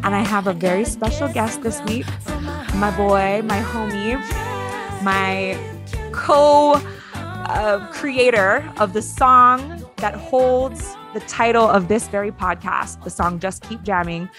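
A woman's voice: mid-range voice, takes it slowly, over music. A young woman hosting a casual podcast interview over a video call, upbeat and enthusiastic. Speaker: podcast host.

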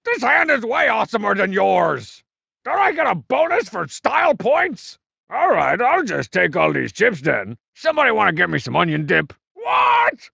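A man voicing a cocky, juvenile, short-tempered masked cartoon villain for a video game, gravelly and raspy, with boastful, mocking, slangy delivery. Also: high-pitched, pushed and bright, no echo, heavily acted. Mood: outraged. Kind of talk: video game character barks.

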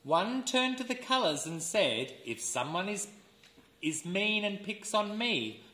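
A man reading a children's storybook aloud as an educator, expressive and deliberate, pausing for listeners to fill in words. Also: sits high. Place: indoors, seated in a room with wooden floor. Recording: roomy indoor camera sound.

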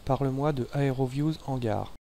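A man's voice: medium pitch, normal speed, vocal fry, dark tone, slight background noise. A man giving short, casual spoken commands to a voice assistant.

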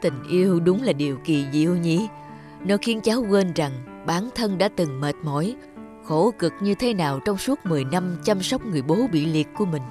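A man's voice: high voice, expressive, moderate pace, a little mellow, faint music behind. A man playing a concerned, disapproving middle-aged doctor in a cartoon drama, with a grave, admonishing manner. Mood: disappointed.

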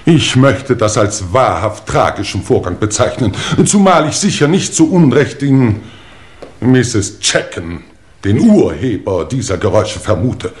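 An actor playing a dignified, pompous aging English lord in a 1950s German radio play, with stately, formal delivery. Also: male voice, mid-range voice, very animated, measured pace. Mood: solemn.

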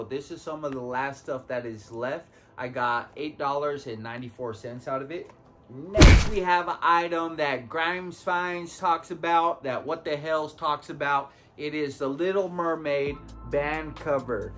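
A man's voice: medium pitch, fairly bright tone. A man in his thirties hosting a casual product rundown to camera, seated in a living room. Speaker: video host, online reseller.

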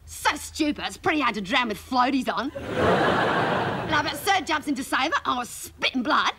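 A woman's voice: sits high, expressive, normal speed. A woman comedian playing a gossipy, indignant, cheeky Australian schoolgirl, telling rambling slangy stories in a stand-up monologue. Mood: scornful, gleeful. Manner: breathless rambling slangy storytelling. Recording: live stage, loud audience laughter and noise. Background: loud laughing crowd.

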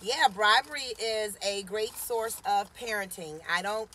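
A woman's voice: medium pitch, measured pace, pushed and bright, slight background noise. A woman in her forties hosting a casual live stream from a parked car, chatting and teasing and greeting viewers by name. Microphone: phone camera at arm's length.

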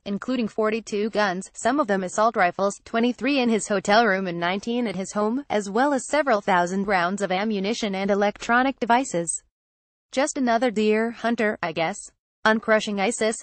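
A woman's voice: medium pitch, dry recording. Woman steadily reading a column aloud. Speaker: reader of an opinion column. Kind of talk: read-aloud opinion column.

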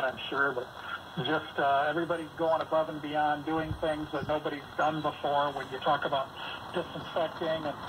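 A man talking conversationally in a sports radio interview. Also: fairly high pitch. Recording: noisy radio broadcast reception.

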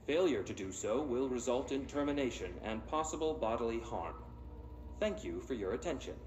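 An actor playing an officious male public-address announcer at a bureaucratic agency in a game, formal and deadpan. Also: mid-range voice, normal speed, roomy sound, close to an everyday voice. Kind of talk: scripted PA announcement.

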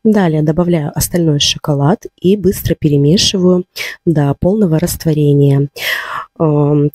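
Home vlogger, woman, slightly ill and a bit hoarse, calm step-by-step voice-over, warm dry voice. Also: low voice, dry recording. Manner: calm, instructive, explains step by step. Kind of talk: voice-over narration of a cooking and decorating vlog.

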